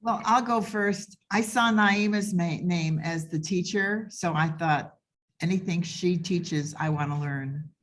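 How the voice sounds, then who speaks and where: A woman's voice: on the low side, a little slow. A woman chatting casually on a video call as a workshop participant. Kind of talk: casual chat.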